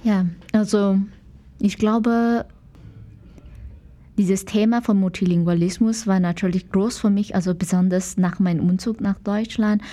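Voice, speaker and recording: Slow, mellow Malaysian-accented woman. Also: medium pitch, fairly even intonation. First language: English, Mandarin and Malay. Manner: hesitant, searching for words in a learned language. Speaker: guest, dancer and dance teacher. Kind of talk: conversational interview answers.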